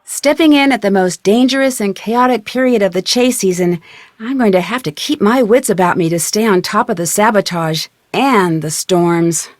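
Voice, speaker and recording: An actress playing a composed, plucky young detective with an American accent, narrating in a scripted video game style. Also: female voice, mid-range voice, moderate pace, dry recording, studio quality, natural voice. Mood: composed, purposeful. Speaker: detective heroine. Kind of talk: scripted game narration, letter read aloud.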